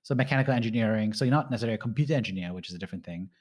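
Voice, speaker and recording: A man hosting a podcast talks in a fairly low voice. He rambles through casual advice and peppers it with "you know" and "right?". He sounds like he's in his thirties. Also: rapid-fire, a little mellow. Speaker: podcast host.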